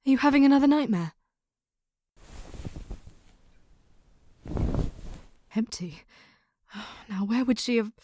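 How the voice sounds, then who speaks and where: A woman's voice: on the high side, lively intonation, brisk, a little mellow. An actress playing an anxious young woman searching for her friend, speaking urgently but politely. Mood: worried. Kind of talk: audio drama acting.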